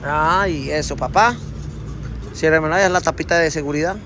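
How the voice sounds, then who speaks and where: A man's voice: on the high side, normal speed, fairly bright tone, a little hiss or hum. A man in his thirties with a Colombian accent, chatting affectionately while filming his trucks with a phone at arm's length. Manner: chatty and affectionate, full of diminutives. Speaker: trucking company owner and vlogger. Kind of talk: casual vlog walkaround. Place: outdoor truck yard and truck cab. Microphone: phone camera held at arm's length.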